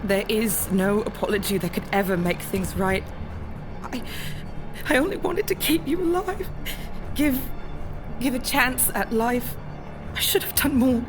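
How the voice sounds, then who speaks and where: A woman playing a remorseful, dying mother in an emotional audio drama, pleading and halting between phrases. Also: on the high side, music in the background, lightly acted.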